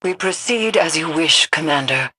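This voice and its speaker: Low, solemn, ominous mystic. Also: female voice, lively intonation, sharp tone, breathy edge, dry recording, heavily acted. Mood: grave, uneasy. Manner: grave, measured, formal. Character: solemn, ominous woman mystic guiding soldiers. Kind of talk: dramatic game voice acting.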